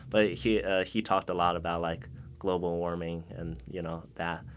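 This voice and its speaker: A young man from China talks casually as an interview guest. His voice sits very low, and he fills his answers with ums and likes. He laughs easily along the way. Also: moderate pace, a little hiss or hum. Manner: relaxed, many fillers, laughs often.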